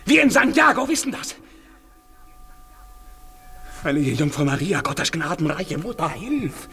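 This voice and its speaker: A man's voice: fairly high pitch, very animated, unhurried, fairly bright tone, faint music behind, full character voice. An actor playing a desperate, tormented lover and father, declaiming between whispers and outcries in 1950s German radio drama style. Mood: tormented despair. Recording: noisy 1950s radio play recording.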